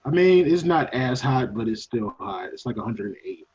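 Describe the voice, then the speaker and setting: A man's voice: mid-range voice, sing-song, normal speed, darkish tone. A man chatting casually on a podcast in a laid-back, informal way. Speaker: podcast cast member. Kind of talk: casual chat. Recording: dull lo-fi audio.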